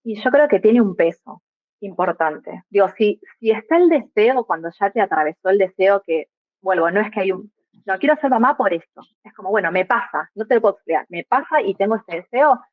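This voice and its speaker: A woman's voice: medium pitch, animated, a little fast, no room sound, phone-quality sound. A young adult woman from Argentina with an Argentine Spanish accent, a guest who speaks reflectively and keeps adding little tag questions. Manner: thoughtful, often adds tag questions. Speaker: guest, migrant mother. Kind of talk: reflective podcast conversation.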